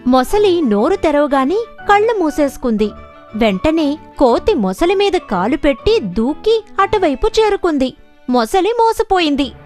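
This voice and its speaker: A woman narrates children's fables in a scripted storytelling style. Her voice is high and very animated, with music behind it. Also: unhurried.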